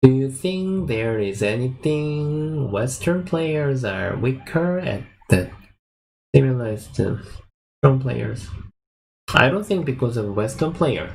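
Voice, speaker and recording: A young man from South Korea, a native Korean speaker with a Korean accent, chats casually in halting English as he hosts a livestream as a Go teacher and answers viewers. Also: medium pitch, darkish tone. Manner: halting, non-fluent English phrasing. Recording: clearly noisy livestream audio.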